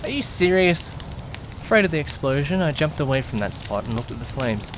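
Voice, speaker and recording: A man acts out an anxious young man telling his own story in an audio drama. He is dramatic and exclamatory, questioning himself and easily panicked. His voice sits at a middling male pitch over background noise. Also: animated, moderate pace, natural voice. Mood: anxious, alarmed. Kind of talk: first-person audio drama narration with acted dialogue.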